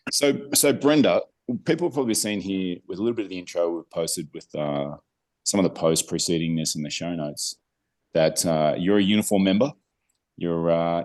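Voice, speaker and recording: A man's voice: low voice, steady tone, a little fast, a little mellow. A man hosting a podcast, friendly and jokey in casual chat. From Australia. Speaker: podcast host.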